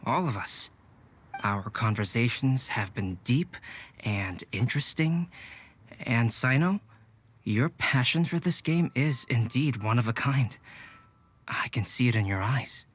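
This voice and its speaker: A man voicing a calm polite young artist. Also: mid-range voice, slight background noise, lightly acted. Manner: soft-spoken, measured, courteous. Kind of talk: game voice acting.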